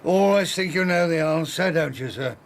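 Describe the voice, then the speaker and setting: Male British radio-drama inspector: by-the-book, placid, fry in the voice, roomy acoustic. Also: fairly high pitch, normal speed. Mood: sceptical. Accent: British English. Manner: placid, pedantic, grudging. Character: stolid, unhurried male police inspector, by-the-book.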